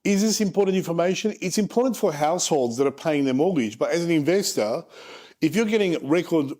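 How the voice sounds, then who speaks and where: A man in his forties with a slightly raised pitch, talking frankly and casually through charts. He drops joking asides as he explains the numbers to investors. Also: expressive, brisk, a little mellow. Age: 40s. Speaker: property investment presenter. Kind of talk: casual presentation over charts.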